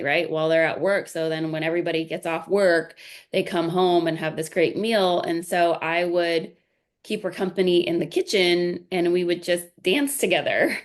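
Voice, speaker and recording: A woman in her forties, a podcast guest, chatting casually and telling family stories with frequent "right?" tags. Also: fairly low pitch. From United States. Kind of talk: casual interview chat.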